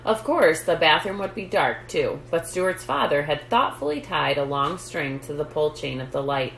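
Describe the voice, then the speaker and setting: A woman reading a children's book aloud as a storytime host, in a measured storytelling way. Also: low-pitched, big pitch swings, vocal fry, fairly bright tone. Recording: roomy echo, light background noise.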